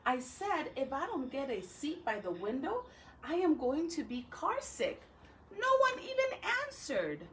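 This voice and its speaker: A woman talking and reading aloud to young pupils as a school educator, with expressive, drawn-out phrasing. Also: sits high, slight background noise. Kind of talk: read-aloud for children with chatty intro.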